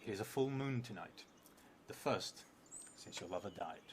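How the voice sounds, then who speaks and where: Low-voiced man, noisy room. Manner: unhurried, thinking aloud, murmuring asides. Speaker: solo tabletop role-playing game streamer. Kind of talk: narrating a solo card game on a live stream. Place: indoor room at a theatre, at a table. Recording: noisy room with steady background noise.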